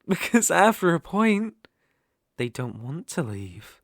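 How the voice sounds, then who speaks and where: Casting: male actor as possessive British dark elf master for ASMR roleplay; unhurried, condescending, coaxing, widely swooping intonation. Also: fairly high pitch, sing-song, dry recording, studio quality, full character voice. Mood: smug, proud. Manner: teasing, condescending, coaxing, with soft chuckles.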